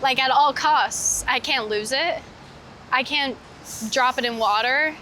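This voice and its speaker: A woman's voice: high voice, measured pace, sharp tone. A woman chatting casually and informally, as a guest on a walking interview, peppering her talk with "like". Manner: informal, heavy use of "like". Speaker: interview guest, street photographer. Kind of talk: casual chat while walking. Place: outdoors on a city street. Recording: clear outdoor street noise with traffic. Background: street ambience and vehicles.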